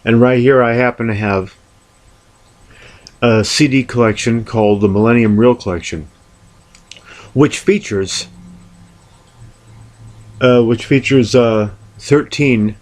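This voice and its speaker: A man presenting a record collection in an unscripted show-and-tell, speaking deliberately and haltingly, often repeating words. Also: mid-range voice, a little mellow. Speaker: music collector presenting albums.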